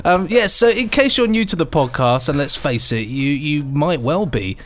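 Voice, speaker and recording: A man's voice: fairly high pitch, lively intonation, normal speed. A man with an English accent co-hosting a podcast, playful and mock-formal as he chats and links segments. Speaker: podcast co-host. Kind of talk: jokey podcast chat and running-order links.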